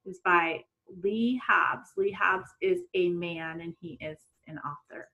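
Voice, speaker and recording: A middle-aged woman, a teacher doing an expressive storytime for children and putting questions to young listeners. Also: fairly low pitch, slow pace, dull audio. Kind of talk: read-aloud storytime for children. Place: small room at home.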